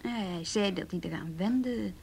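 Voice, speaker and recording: Troubled loyal wife, gravelly breathy voice, halting, reticent, understated, radio drama. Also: female voice, mid-range voice, darkish tone. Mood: resigned, defensive. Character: guarded, troubled, loyal wife defending absent husband. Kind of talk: naturalistic Dutch radio play acting.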